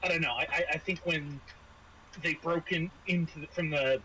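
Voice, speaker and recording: A man acting as game master, narrating a tabletop role-playing game in a halting, improvised way that repeats phrases. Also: on the high side, fairly even intonation, bright, forceful tone, poor audio. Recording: home recording with clear background noise.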